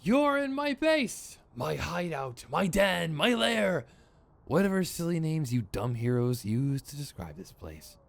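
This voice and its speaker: A man's voice: a little high, very animated, unhurried, creaky, no echo, full character voice. A man playing a theatrical, sardonic supervillain in a scripted ASMR roleplay, exasperated and smug, with mock menace and a grumbling, rambling streak. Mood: exasperated, boastful.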